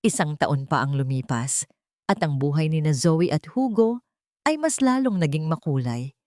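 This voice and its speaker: Female storyteller, lower register, sentimental measured romance narration, dry sound. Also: animated, darkish tone, no echo.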